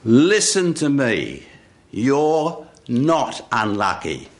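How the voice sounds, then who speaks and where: Man playing a blunt, emphatic, unhurried Creator god. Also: mid-range voice, big pitch swings. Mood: patient, earnest. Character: Creator deity, patient but blunt and exasperated. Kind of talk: live storytelling.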